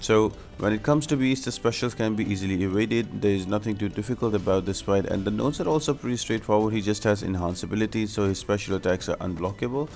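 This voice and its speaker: Low-voiced man giving a game walkthrough. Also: fast, gravelly, hardly any pauses, a little mellow. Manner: explanatory, step-by-step instructional. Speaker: video game guide host. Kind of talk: gameplay walkthrough commentary. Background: background music.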